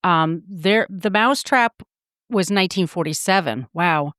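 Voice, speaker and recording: Clear-voiced woman co-hosting, dry sound. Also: fairly low pitch, unhurried, a bit bright, no echo. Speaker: podcast co-host. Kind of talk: casual podcast discussion.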